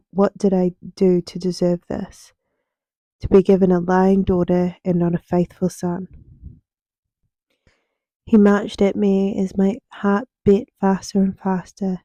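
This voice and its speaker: A young woman narrates a story in soft ASMR style, her tone very dark and mellow. Her pitch sits on the low side and moves little, with deliberate pauses between phrases. The sound is dry and close. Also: no echo. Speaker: writer reading her own book.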